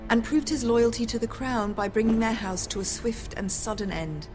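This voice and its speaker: An actress playing an icy, menacing queen in a game drama, imperious and cutting, speaking with deliberate weight. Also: a little high, music in the background, lightly acted. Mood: cold, commanding. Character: haughty, icy, menacing queen in middle adulthood.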